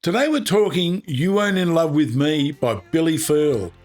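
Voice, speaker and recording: A man's voice: fairly high pitch, animated, normal speed, darkish tone. An Australian man presenting music history in upbeat, colloquial scripted narration. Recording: music playing behind. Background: background music.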